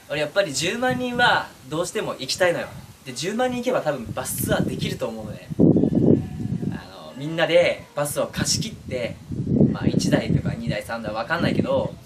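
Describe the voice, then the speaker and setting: Animated young man, distant noisy recording. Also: fairly high pitch. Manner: chuckles between phrases, informal. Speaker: voice-acting content creator. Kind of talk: casual chat to viewers. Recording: distant mic with clear background noise.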